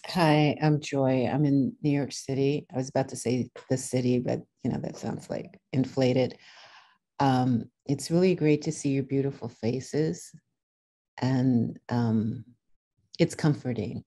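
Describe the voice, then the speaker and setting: A woman with an unusually deep, mellow voice greets the group on a call, speaking gently and thoughtfully. Her tone is calm and unhurried. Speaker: scholar, guest of honor. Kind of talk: casual greeting.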